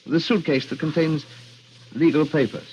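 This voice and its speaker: A man playing a smug, high-voiced radio villain. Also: fairly even intonation, moderate pace, darkish tone, natural voice. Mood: quiet menace. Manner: suave, condescending, mock-polite, chuckling. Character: smug, cold, mocking villain feigning polite innocence. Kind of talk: 1940s radio drama acting. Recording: muffled, hissy old radio recording.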